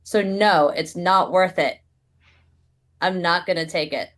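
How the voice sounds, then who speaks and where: A woman's voice: on the low side, slow pace, fairly bright tone. A woman playing a tabletop game, chatting casually in a blunt, exasperated manner.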